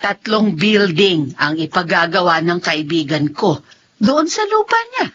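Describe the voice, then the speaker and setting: A woman's voice: lowish voice, big pitch swings, measured pace, a bit bright, muffled recording, a little hiss or hum. A woman acting an encouraging middle-aged mother in a radio drama. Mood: encouraging, proud.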